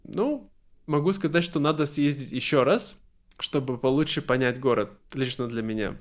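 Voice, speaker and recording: A man hosting a slow, relaxed conversation, thinking aloud with fillers and laughing often. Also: mid-range voice. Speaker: host.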